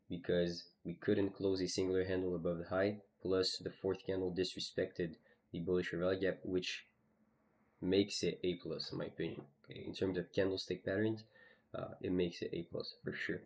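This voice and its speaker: A man's voice: low voice, darkish tone. A man streaming live, casually walking through his trades on a chart, with lots of "you know" and "okay". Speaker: trading streamer.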